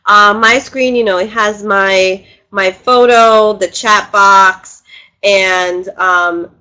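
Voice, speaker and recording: A woman's voice: medium pitch, fairly even intonation, slow pace, pushed and bright, smooth. A woman hosting a live webinar, bubbly and chatty, her voice congested from a cold, laughing often as she greets people. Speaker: webinar host and spiritual teacher. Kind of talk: casual live webinar talk. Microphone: computer mic on a video call.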